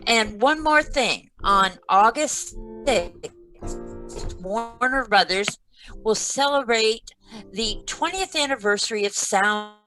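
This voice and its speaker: A woman's voice: mid-range voice, sharp tone, poor audio. A woman playing a formal 1940s radio announcer reading sponsor copy over a video call. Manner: measured, deliberate, formal. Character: polished 1940s radio announcer pitching toothpaste. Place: remote read-through over a video call.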